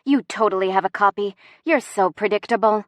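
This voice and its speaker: A woman's voice: medium pitch, brisk, no room sound, natural voice. A woman voicing a sassy, easily flustered young heroine with bantering, defiant delivery in a romance audiobook. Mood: playful.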